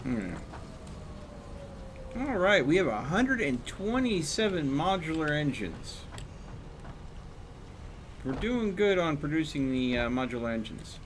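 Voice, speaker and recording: A man's voice: on the high side, sing-song, slow, pauses often, a little hiss or hum. A man chatting casually while streaming a game, thinking aloud and explaining numbers as he plays. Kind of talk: casual chat while gaming.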